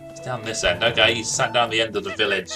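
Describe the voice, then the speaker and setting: A man's voice: fairly low pitch, fairly even intonation, quick pace, over music. A man doing casual, jokey gaming commentary, talking to himself and reading game dialogue aloud. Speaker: gaming streamer.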